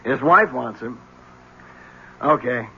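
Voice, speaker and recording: An actor playing a wry, world-weary police lieutenant with a husky voice, dry and teasing, in a 1970s radio drama. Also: male voice, mid-range voice, animated, slow, noisy background. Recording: dull 1970s radio recording.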